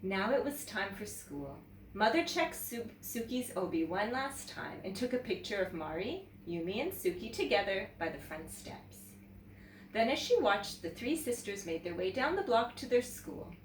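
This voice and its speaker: A woman teacher reading a children's story aloud in an expressive, animated way, talking directly to young viewers. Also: medium pitch, normal speed. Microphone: camera mic at some distance. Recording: roomy, distant mic with background noise.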